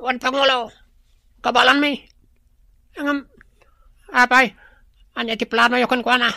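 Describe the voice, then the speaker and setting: Henchman role in radio drama: sly obedient male, piercingly bright high voice with creak. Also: flat delivery, a little slow, dry recording, heavily acted. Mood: deferential.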